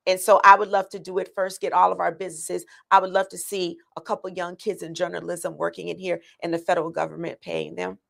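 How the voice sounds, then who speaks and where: A woman's voice: lowish voice, little pitch movement, quick pace, creaky, hardly any pauses, a bit bright. A woman running for county commissioner, earnestly laying out her plans with many ums and restarts. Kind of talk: answering interview questions.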